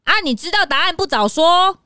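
Woman voicing a very high, cheeky young sidekick. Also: lively intonation, moderate pace, sharp tone, no echo, clean studio sound, exaggerated voice. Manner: chatty, cheeky, exclamatory. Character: young, chirpy, inquisitive detective's sidekick. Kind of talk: children's audio detective drama.

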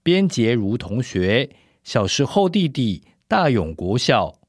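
A male announcer reads a list very slowly, with animated intonation. He has a Taiwanese Mandarin accent, and the recording is dry and close. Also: medium pitch, no echo. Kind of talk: scripted cast-list reading.

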